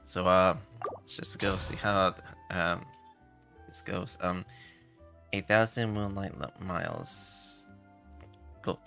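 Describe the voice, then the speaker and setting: A man with a lowish, gravelly voice and a bright edge, giving casual game stream commentary. He speaks haltingly and hesitantly, with faint music behind him. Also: steady tone. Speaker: game livestreamer.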